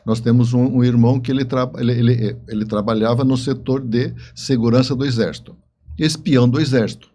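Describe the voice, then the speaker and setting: A man with a dark, very relaxed voice at an average pitch. He talks as a guest in conversation, telling stories and repeating short phrases to drive them home. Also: a little fast, slight background noise. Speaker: interview guest, novelist. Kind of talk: conversational storytelling.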